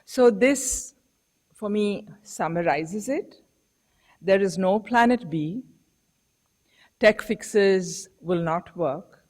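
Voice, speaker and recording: Slow, measured woman lecturing. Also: mid-range voice, animated, a little mellow. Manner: measured, reflective, rhetorical questions. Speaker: professor giving a public lecture. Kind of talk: public lecture. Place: lecture hall.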